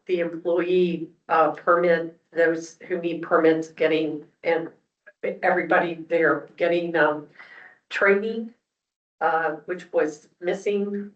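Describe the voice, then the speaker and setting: A woman speaks as a commission member, presenting cases and motions formally in a halting, procedural way at a public meeting. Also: on the low side, a bit bright. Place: indoor public meeting room. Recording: distant mic, roomy echo, dull sound.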